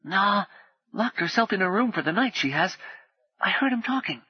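A man voicing a small, nervous, streetwise potboy who talks in a slangy, hushed whisper. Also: high-pitched, animated, moderate pace, slightly rough, no room sound, highs cut off, pitched above the performer's own voice.

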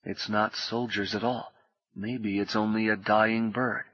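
A man voicing an anxious young man on the run, speaking briskly. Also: lowish voice, flat delivery, in a large hall, muffled recording. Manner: brisk, clipped.

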